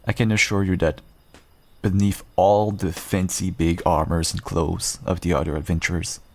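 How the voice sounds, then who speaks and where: Deep, gravelly werewolf rogue, acted by a man. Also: darkish tone, slight background noise. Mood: earnest, reassuring. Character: cloaked werewolf rogue adventurer, a calm protective savior. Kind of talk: roleplay audio drama addressed to the listener.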